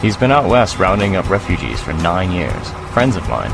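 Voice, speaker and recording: An actor playing a bitter, ornery, world-weary refugee fighter in an audio drama. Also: male voice, sits low, sing-song, normal speed, creaky, heavily acted. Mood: bitter, challenging. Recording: loud music under the voice.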